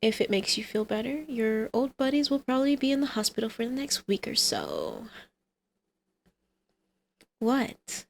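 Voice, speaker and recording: A woman's voice: fairly high pitch, sing-song, unhurried, creaky, long pauses, heavily acted. A woman playing a brazen, scheming supervillain mother in an ASMR roleplay, teasing, smug and coaxing.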